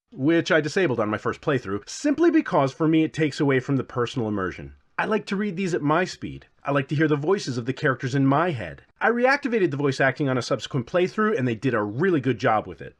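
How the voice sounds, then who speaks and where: A man narrating a scripted comedic review as host, with a theatrical, wry, self-deprecating delivery. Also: mid-range voice.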